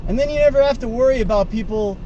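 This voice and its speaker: Host, male, from the United States; sarcastic opinion rant, high and animated, outdoor traffic noise behind. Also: fast talker, dull audio. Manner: sarcastic, ranting, rhetorical questions. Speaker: show host. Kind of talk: casual opinion monologue.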